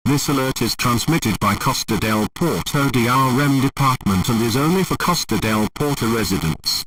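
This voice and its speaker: A man's voice: medium pitch, animated, moderate pace, a bit bright, far from the mic, a little hiss or hum, rough recording. A man reading a formal scripted emergency broadcast announcement in a stiff, impersonal, official manner.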